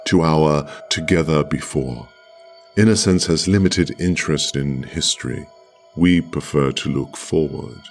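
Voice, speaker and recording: Man acting solemn chosen citizen of a future world. Bottomless bass, unhurried, formal delivery over music. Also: full character voice. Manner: measured, formal, deliberate, halting. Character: solemn privileged man of the future, chosen for sacrifice, addressing the past. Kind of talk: radio play monologue. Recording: music under the voice.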